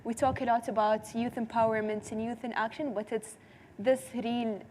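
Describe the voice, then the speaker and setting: A woman's voice: on the high side, fairly even intonation, normal speed, a little mellow. A young woman, a native Arabic speaker, moderating a panel in a casual, informal way. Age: young adult. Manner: casual, frequent "like". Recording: reverberant hall, some background noise.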